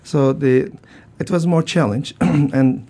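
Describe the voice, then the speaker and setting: An older man with a Turkish accent, a transplant surgeon answering interview questions in a halting way, with many fillers, restarts and throat clearing. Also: mid-range voice, steady tone, warm tone. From Turkey.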